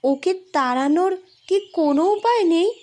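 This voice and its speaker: A woman's voice: sits high, animated, moderate pace, warm tone. A woman voicing an earnest, curious young village girl in a cartoon, speaking with wide-eyed emphasis. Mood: astonished, curious. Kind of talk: Bengali cartoon voice acting.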